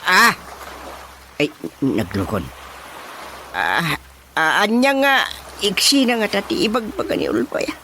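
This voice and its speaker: A man's voice: sits high, lively intonation, unhurried, pauses often, sharp tone, a little hiss or hum, heavily acted. A man with an Ilocano accent playing a bossy, teasing village chairman in a radio drama comedy, exclaiming and chuckling theatrically. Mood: excited, impatient.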